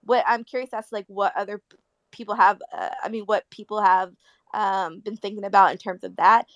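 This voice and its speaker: A woman's voice: mid-range voice, fairly even intonation, brisk, no room sound, muffled recording. A woman talks casually as a panelist in an online discussion. Her sound is dry with little room in it, and she circles and repeats words as she works out her phrasing. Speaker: panel speaker.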